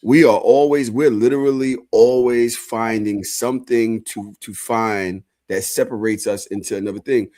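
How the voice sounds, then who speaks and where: A man co-hosting a podcast, talking casually and haltingly with lots of fillers and restarts. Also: medium pitch, fairly even intonation, warm tone. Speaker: podcast co-host.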